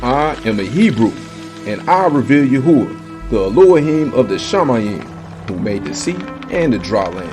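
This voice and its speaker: A man's voice: medium pitch, sing-song, normal speed, over music, lightly acted. A man playing a solemn, devout Hebrew prophet in a dramatized scripture reading, declarative and prayerful. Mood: resigned.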